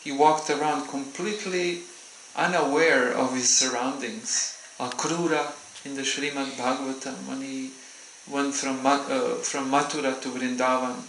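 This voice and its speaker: A man gives a devotional talk in a temple hall, speaking gently and unhurriedly with frequent pauses. His voice has a gravelly edge and a bright, forceful tone. The sound rings around a roomy space. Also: medium pitch, fairly even intonation, slight background noise. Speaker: visiting swami giving a temple talk. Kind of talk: devotional talk with audience questions.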